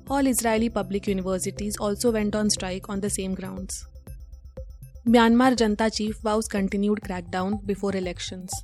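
A woman's voice: medium pitch, a little mellow. A woman with an Indian English accent presenting a scripted news bulletin.

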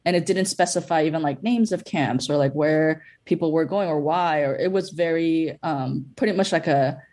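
A woman's voice: sits low, moderate pace, darkish tone. A woman film producer from Bolivia, raised in Los Angeles, talking casually in a podcast interview with frequent fillers and "like".

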